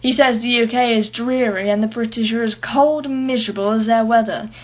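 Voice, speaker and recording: A woman's voice: on the high side, steady tone, fairly quick, muffled recording, close to an everyday voice. A woman playing a wealthy, blunt and haughty married woman in a radio play, clipped and defensive. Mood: guarded, brittle.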